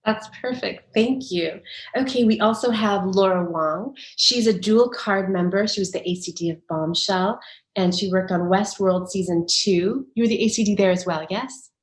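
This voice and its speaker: A woman's voice: mid-range voice, normal speed, echoey room. A woman moderating an online panel, reading a prepared introduction and then welcoming guests. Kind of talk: moderating a video-call panel.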